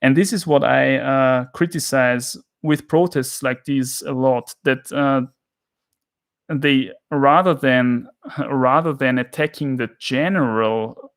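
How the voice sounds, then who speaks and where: A young man hosting a casual talk show from a small room at home, giving his opinions in a searching way, pausing and restarting as he thinks. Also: medium pitch, steady tone. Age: young adult. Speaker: podcast host. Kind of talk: casual monologue. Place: small dim room at home, guitar on wall. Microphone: studio mic on boom arm near face.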